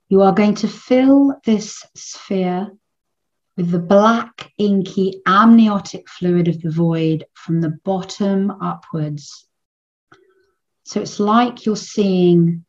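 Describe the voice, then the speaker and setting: A woman leading a guided meditation in a soothing, unhurried manner with long pauses between phrases. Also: lowish voice, darkish tone, highs cut off.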